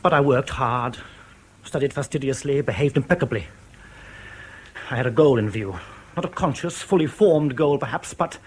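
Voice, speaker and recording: A man's voice: mid-range voice, breathy edge, natural voice. An actor in a radio drama playing an anxious, proud East German official in his thirties who has defected, speaking formally and carefully. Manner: formal, careful, precise diction with hesitant pauses.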